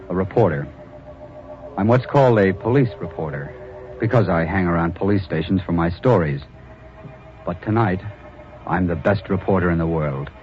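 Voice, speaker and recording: An actor in a 1940s radio drama playing a tough young police reporter who narrates in the first person. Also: male voice, low-pitched, a little mellow, natural voice. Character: hard-boiled young male police reporter. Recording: dull lo-fi old radio recording.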